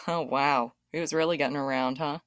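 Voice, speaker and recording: A woman acting out a caring aunt in an audio drama, inquisitive and sympathetic. Her voice is low for a woman and recorded dry and close. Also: fairly even intonation, moderate pace, a bit bright, dry recording. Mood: surprised. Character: concerned, curious aunt.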